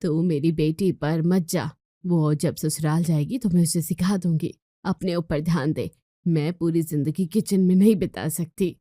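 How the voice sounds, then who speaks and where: A woman's voice: low-pitched, fairly even intonation, a little slow, warm tone, dry recording, studio quality. A woman voicing a weary, firm middle-aged mother-in-law who scolds in a family storybook tale. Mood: stern.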